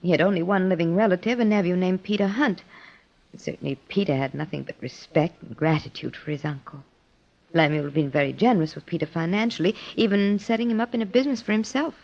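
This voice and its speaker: An actress playing a refined, anxious widow in an old-time radio drama, with a formal, earnest, melodramatic delivery. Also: female voice, fairly low pitch, quick pace, a little mellow. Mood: composed. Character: refined, grateful, anxious newly widowed wealthy woman. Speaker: radio actor. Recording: muffled old radio broadcast.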